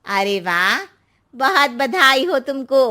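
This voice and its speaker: A woman's voice: high-pitched, very animated, takes it slowly, pushed and bright, voice pushed up, exaggerated voice. A woman voicing a scheming middle-aged neighbor aunty in a cartoon story, with a coaxing, secretive way of talking. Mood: sly.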